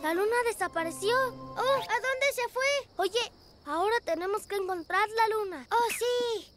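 A woman's voice: very high-pitched, measured pace. A woman voicing an eager, brave little boy bunny superhero in a Latin American Spanish cartoon dub. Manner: eager, bold, childlike. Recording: loud music behind.

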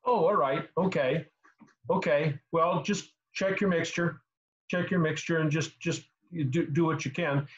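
A man in his sixties giving casual technical advice as a host on a video call, halting and repeating words as he explains. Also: a little high, fairly even intonation. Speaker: host answering car repair questions. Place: small home room indoors. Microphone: computer mic on a video call. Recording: slightly dull video call audio.

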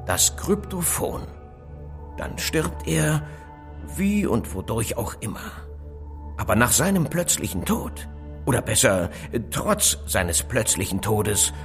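An actor playing a self-assured, cryptic expert who lectures deliberately and emphatically in a German audio drama. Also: male voice, mid-range voice. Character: self-assured middle-aged male expert on phone surveillance, cryptic.

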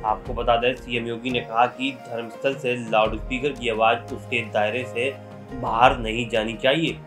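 A male news presenter narrates a scripted report in a mid-pitched voice. Background music plays beneath his narration. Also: little pitch movement, moderate pace.

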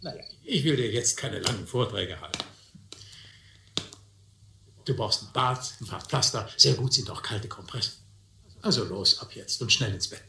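An actor playing a wry, educated guardian in a radio play, articulate, ironic and mock-stern. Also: male voice, medium pitch, expressive, moderate pace, creaky, long pauses, bright, forceful tone. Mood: caring, wry. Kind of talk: German radio play dialogue. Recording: echoey, slightly noisy audio drama recording.

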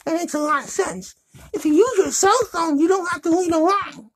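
A man voicing a sassy, blunt girl puppet in a high falsetto for a children's puppet show. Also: normal speed, a bit bright.

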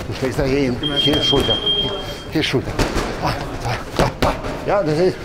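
A middle-aged boxing coach calls out short, clipped counts and commands as he holds pads. His voice sits at an ordinary pitch for a man and comes from a camera a few steps away. Loud thumps and gym clatter fill the background. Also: moderate pace. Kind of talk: coaching pad drills. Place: large indoor boxing gym. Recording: gym noise with loud thuds.

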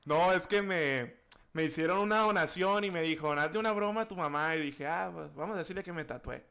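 Higher-pitched young man chatting. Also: a little fast, vocal fry, bright, forceful tone, smooth. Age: 20s. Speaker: livestreamer making a prank phone call. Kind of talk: casual phone chat. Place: indoor room at home.

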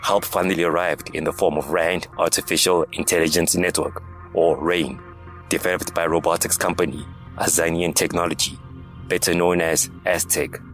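A man narrates a science fiction audio drama in a low-pitched voice. His delivery is scripted and dramatic, and music plays clearly behind it. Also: flat delivery, moderate pace, fairly bright tone.

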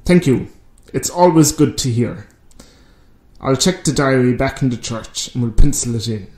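A man plays an Irish village priest in a radio drama. He is genial and ready to help, with a medium-pitched voice. The sound is noticeably roomy. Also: lively intonation, normal speed, darkish tone, natural voice. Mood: genial, obliging. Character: genial, obliging village priest in rural Mayo. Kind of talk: amateur radio drama acting.

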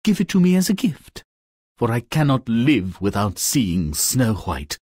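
Ardent, animated fairy-tale prince. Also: male voice, medium pitch, a little slow, husky, no echo, studio quality. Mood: pleading. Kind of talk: exaggerated fairy-tale game acting.

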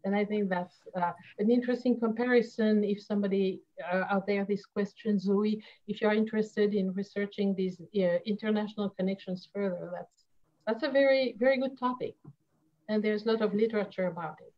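A woman from France with a French accent, a history professor speaking on an online panel, pausing often with fillers and restarts. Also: medium pitch, steady tone, lo-fi sound. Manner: halting, many fillers and restarts. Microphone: video call.

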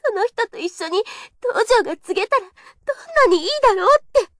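A woman's voice: very high-pitched, lively intonation, a little fast, fairly bright tone, no echo, studio quality. A young woman playing a shy, earnest kendo girl in an audio drama monologue, with a hesitant, confessional delivery. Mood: emotional, longing.

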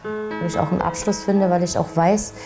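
A woman's voice: fairly low pitch, steady tone, moderate pace, music in the background. A woman speaking in an interview clip as a victim's relative, earnest and reflective.

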